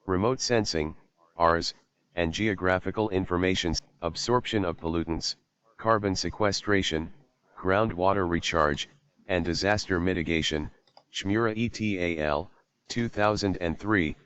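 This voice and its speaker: A computer-generated man reads academic prose aloud. The robotic voice is deep and smooth, and the audio is dull and lo-fi. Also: measured pace, a bit bright. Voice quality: synthetic, robotic. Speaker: text-to-speech reader. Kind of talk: synthetic read-aloud of academic text.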